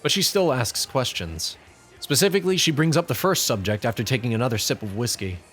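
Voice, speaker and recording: A male narrator reads a scripted story in the second person. His pitch sits in the middle range for a man, and he sets out scenes and actions. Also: animated, a little fast, a bit bright, soft background music.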